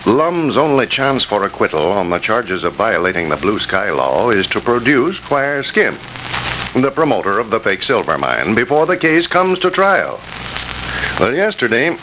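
Male radio announcer, 1930s style, animated intonation, persuasive, folksy, scripted, noisy old broadcast. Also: on the low side, lo-fi sound. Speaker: radio announcer and sponsor spokesman.

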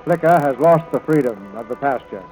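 A man's voice: medium pitch, lively intonation, normal speed, darkish tone, poor audio. A man giving dramatic, scripted narration in 1940s radio style. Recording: old radio broadcast, music behind.

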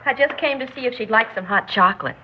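A woman's voice: fairly high pitch, fast talker, close to an everyday voice. An actress in a 1960s radio drama playing an affectionate, playful young niece with a chatty, girlish manner. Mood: cheerful, breezy. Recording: muffled, hissy 1960s radio recording.

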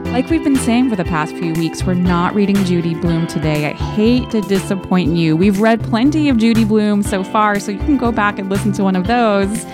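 A woman's voice: mid-range voice, normal speed, few pauses, music in the background. Woman hosting a casual book podcast. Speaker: podcast co-host. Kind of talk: casual book club chat, reading listener letters.

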